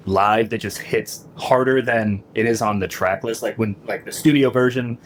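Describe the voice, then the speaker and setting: A man's voice: on the low side, moderate pace, slight background noise. Laid-back joking co-host, man. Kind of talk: casual interview chat.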